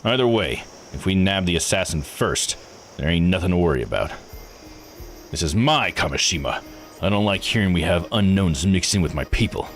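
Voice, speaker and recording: Man putting on a deep, gruff old island boss. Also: animated, moderate pace, faint music behind. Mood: blunt, dismissive. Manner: blunt, slangy, domineering. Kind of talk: visual novel read-aloud voice acting.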